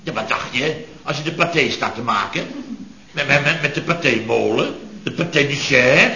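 A man's voice: medium pitch, lively intonation, normal speed, creaky, sharp tone. An actor in a radio comedy playing an exasperated chef, blustering and indignantly repeating himself as he tells of disasters. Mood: indignant, dramatic. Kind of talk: radio comedy acting before an audience. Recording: echoey dull old radio recording, audience noise.

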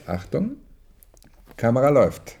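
An actor playing a gruff but reassuring, no-nonsense male crew mate in a German radio drama, his voice husky and mellow. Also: medium pitch, huge pitch swings, unhurried, full character voice.

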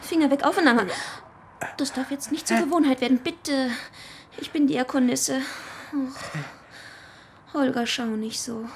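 An actress playing a caring, practical deaconess with a matter-of-fact, intimate delivery in a radio drama. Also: female voice, sits high, a little hiss or hum. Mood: tender, flustered. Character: caring, practical deaconess, affectionate but proper. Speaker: actor in a radio play. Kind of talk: German radio crime drama dialogue.

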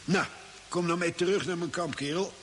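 An actor playing an easygoing old desert hermit in a radio drama, folksy and hesitant in his unhurried talk. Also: high-pitched, fairly bright tone. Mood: kindly, coaxing. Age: older. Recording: hissy old radio recording with long reverb.

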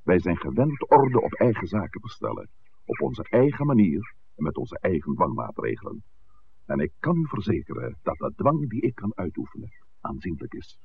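An actor playing an older, authoritative village priest, speaking formally and eloquently in a 1960s radio drama. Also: male voice, deep voice, wildly swooping pitch, vocal fry, breathy edge, full character voice. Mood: stern, forceful. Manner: formal, measured, eloquent, commanding. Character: old village priest, authoritative, stern, protective of his flock. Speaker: parish priest. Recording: tinny, noisy 1960s radio recording.

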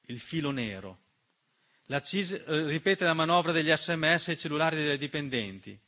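A man performing a dramatic political theatre monologue in an emphatic, rhetorical manner with deliberate repetition. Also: on the high side, lively intonation, sharp tone. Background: piano accompaniment.

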